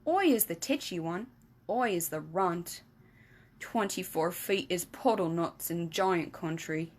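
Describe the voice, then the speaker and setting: A woman reading aloud and voicing a big, kindly giant who mangles his grammar and invents words. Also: unhurried. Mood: boastful. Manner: jumbled grammar, made-up words, booming. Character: big friendly giant, kindly, muddled speech.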